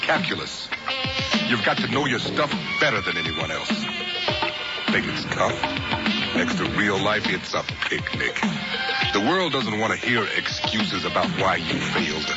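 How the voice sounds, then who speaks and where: Stern low-voiced teacher type. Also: male voice. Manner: stern, demanding. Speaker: public service ad speaker. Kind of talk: scripted public service announcement. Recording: dull old radio broadcast with music.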